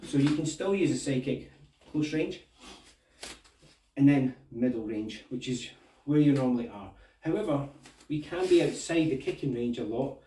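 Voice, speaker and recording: Scottish man teaching kicks, echoey. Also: fairly high pitch. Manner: relaxed, talks through moves while demonstrating. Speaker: martial arts instructor. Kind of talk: demonstration tutorial. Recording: echoey room, mic at a distance.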